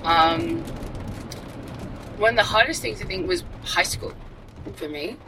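A young woman speaks candidly in a relaxed interview, telling lively personal stories full of fillers and restarts. Her voice sits in a middle pitch. Steady traffic noise runs behind her. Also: measured pace, rough recording. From Australia. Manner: candid, animated storytelling with many fillers and restarts. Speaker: actor and writer. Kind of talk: casual interview chat.

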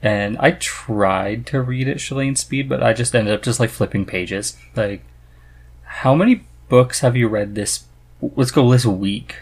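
A man's voice: mid-range voice, a little slow. A man hosting a podcast in a casual, chatty style. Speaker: podcast host. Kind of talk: casual chat.

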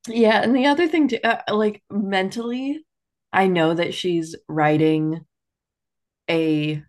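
Casting: woman, medium pitch, podcast co-host, informal and candid, chatty phrasing full of "like". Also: slow pace. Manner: conversational, heavy use of "like", candid. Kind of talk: casual book chat.

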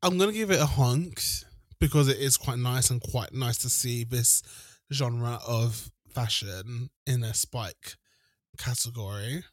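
A man's voice: medium pitch, measured pace, no room sound. A man co-hosting a podcast, giving casual, hesitant opinions on fashion. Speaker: podcast co-host. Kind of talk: casual chat, fashion commentary.

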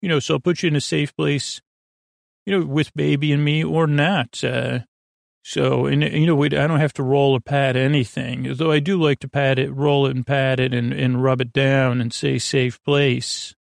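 Creaky, rambling man with a dry voice. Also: medium pitch, level tone, no echo. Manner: meandering, full of fillers and pauses. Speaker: sleep podcast host. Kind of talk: rambling bedtime monologue.

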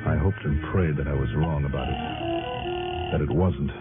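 Hard-boiled detective, deep voice, clipped and brooding, vintage radio drama sound over prominent music. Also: male voice, moderate pace. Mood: grim, brooding. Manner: clipped, brooding, self-questioning delivery. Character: world-weary, hard-boiled male private investigator, brooding and dogged. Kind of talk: 1950s-60s radio detective drama narration and dialogue. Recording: vintage tinny radio recording, music behind.

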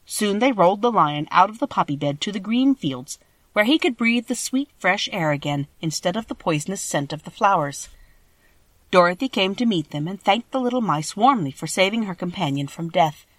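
A woman's voice: mid-range voice, lively intonation, moderate pace, dry recording. A woman reading a children's story as scripted audiobook narration.

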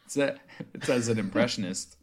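A man's voice: mid-range voice, moderate pace. A man co-hosting a casual comedy podcast, playful and teasing, laughing often. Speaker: podcast co-host.

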